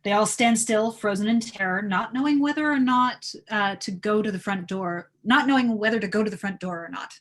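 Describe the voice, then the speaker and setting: A woman's voice: mid-range voice, fairly quick, hardly any pauses. Woman narrating a screenplay's stage directions. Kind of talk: live screenplay table read narration.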